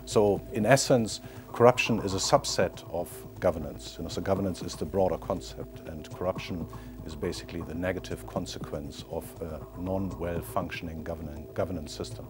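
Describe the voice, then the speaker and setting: A man's voice: low voice, brisk, faint music behind. A man giving unscripted expert answers as a quiz contestant, hesitant and often inserting "you know, so" as he explains.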